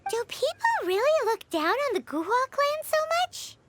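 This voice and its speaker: A squeaky, dramatic little companion. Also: female voice, sing-song, moderate pace, loud music behind the voice. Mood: teasing. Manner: squeaky, dramatic, talks of herself by name. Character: tiny excitable female floating companion. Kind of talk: video game voice acting. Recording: noisy game capture.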